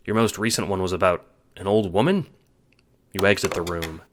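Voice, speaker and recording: A man's voice: low voice, moderate pace. A man reading scripted second-person story narration, as the narrator of an audio drama.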